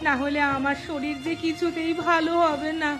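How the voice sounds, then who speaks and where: Woman acting a high-pitched, plaintive village wife. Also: flat delivery, normal speed, a bit bright, faint music behind. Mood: remorseful, pleading. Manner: plaintive, pleading. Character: ailing, remorseful wife of a cheating village shopkeeper. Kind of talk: Bengali moral cartoon acting.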